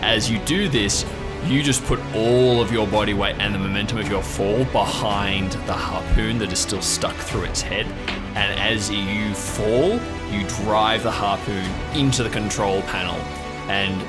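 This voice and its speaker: Low-voiced man narrating over music. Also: fairly even intonation, unhurried. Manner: vivid, descriptive storytelling. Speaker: game master. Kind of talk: improvised narration of tabletop role-play adventure. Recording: music playing behind the voice.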